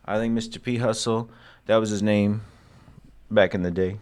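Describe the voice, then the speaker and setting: A man talking in a loose, joking way with slangy asides, hosting his own commentary. His voice sits a little low. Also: fairly even intonation, normal speed, a little mellow, clean tone. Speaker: commentary host. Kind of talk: casual political commentary.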